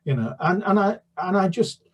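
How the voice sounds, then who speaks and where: A British children's author talking about his books with many restarts, then reading a chapter aloud. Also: sits high. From United Kingdom. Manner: halting, many restarts and fillers, then steady narration. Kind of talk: book talk and read-aloud.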